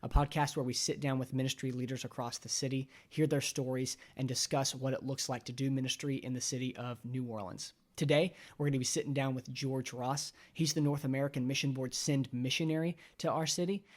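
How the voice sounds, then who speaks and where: A man hosting a casual podcast interview, upbeat and enthusiastic. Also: mid-range voice. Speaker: podcast host.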